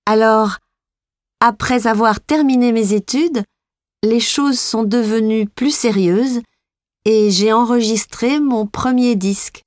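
An actress voicing a young female Belgian pop singer being interviewed, speaking slowly and clearly in a scripted exam dialogue. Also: on the high side, no echo, clean studio sound. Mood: friendly. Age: young adult. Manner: slow, clear, carefully articulated.

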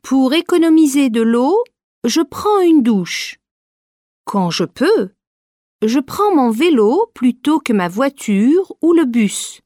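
A young woman student in a scripted listening exercise. She speaks slowly, with warm, mellow colour and a lively rise and fall. The sound is dry and close. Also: high voice, dry recording, full character voice. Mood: earnest. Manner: slow, carefully spoken. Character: young woman student talking about the environment. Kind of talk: scripted exam listening dialogue.

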